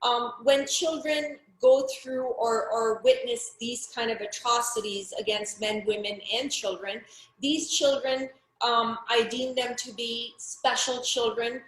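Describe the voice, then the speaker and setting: A woman's voice: fairly high pitch, fairly even intonation, measured pace, a bit bright, roomy sound, rough recording. A middle-aged woman from Pakistan, speaking frankly and earnestly as an interview guest, often restarting her sentences. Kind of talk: personal testimony in an interview.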